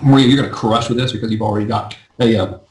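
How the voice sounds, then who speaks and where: A man coaching a live webinar in a chatty, self-deprecating way, his voice going a little hoarse. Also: lowish voice, steady tone, echoey room. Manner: fast, chatty, self-deprecating, often asks "right?". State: losing his voice. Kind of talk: casual live webinar teaching.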